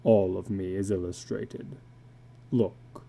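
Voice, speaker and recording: A man's voice: sits low, steady tone, gravelly, warm tone, voice pushed down, exaggerated voice. A man voicing a weary, middle-aged tattooed carnival drifter in a storybook reading, speaking in a heavy, resigned manner. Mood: haunted, weary. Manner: slow, heavy, resigned delivery.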